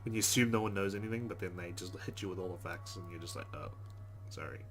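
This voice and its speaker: A man's voice: low voice, quick pace, soft background music. A man hosting a let's play, reading visual novel text aloud and adding informal, chatty commentary.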